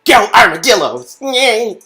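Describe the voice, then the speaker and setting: A woman voicing a boisterous, proud small-town boyfriend in an interactive story game, with booming, drawn-out cheerleading delivery. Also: very animated, pushed and bright, faint music behind, full character voice. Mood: proud, excited.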